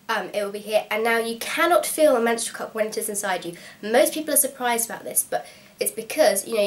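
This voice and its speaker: Chatty young woman with a cold. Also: medium pitch. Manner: chatty, frank and practical, with frequent ums. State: slightly hoarse from a cold. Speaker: vlogger. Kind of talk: casual instructional vlog.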